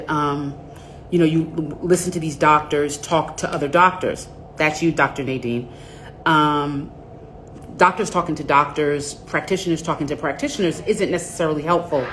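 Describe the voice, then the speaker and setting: Nasal lady in her 40s chatting on a livestream, low voice, rambly, faint hum behind her. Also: a bit bright. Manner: chatty, greets viewers by name, rambling asides. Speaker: host of a live stream. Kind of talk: casual live-stream chat.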